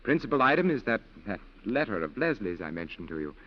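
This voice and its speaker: An actor playing a cautious, worried lawyer and family friend in a 1940s radio drama, measured and reluctant. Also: male voice, on the low side, sing-song. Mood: sober, reluctant. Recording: muffled 1940s radio broadcast.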